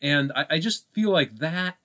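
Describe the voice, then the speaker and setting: A man co-hosting a podcast, talking casually about film in a halting way, thinking aloud with frequent asides. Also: fairly high pitch, expressive, pushed and bright. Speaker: podcast co-host.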